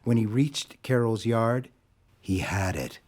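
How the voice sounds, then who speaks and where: A man narrating an audio drama in a measured, deliberate storytelling style. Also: on the low side, expressive, a little mellow.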